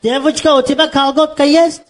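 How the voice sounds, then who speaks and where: A man's voice: extremely high pitch, level tone, moderate pace. A man playing a cheerful, naive Tajik migrant man in Moscow on a comedy phone call, speaking broken Russian mixed with Tajik in a Tajik accent. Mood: bumbling.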